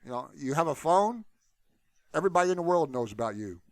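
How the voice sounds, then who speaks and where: Casual man rambling, slightly high voice. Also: very animated, a little fast, no room sound. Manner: informal and unscripted, repeatedly saying "you know". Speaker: YouTube channel host. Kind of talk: casual, rambling solo talk to viewers.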